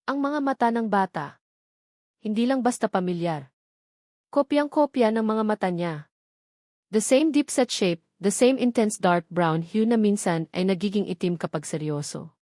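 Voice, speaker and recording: A woman's voice: medium pitch, expressive, measured pace, warm tone, no echo. Filipino woman narrating dramatically, dry close sound. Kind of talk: dramatic scripted storytelling narration.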